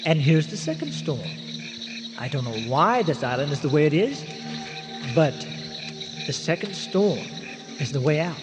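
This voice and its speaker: An actor playing an earnest, eccentric castaway scientist in a radio drama, speaking formally with hesitant stammers. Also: male voice, on the high side, expressive, unhurried, pauses often, music in the background. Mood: explaining intently. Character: eccentric, long-stranded scientist explorer, earnest and courtly. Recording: noisy radio drama, long cavernous reverb.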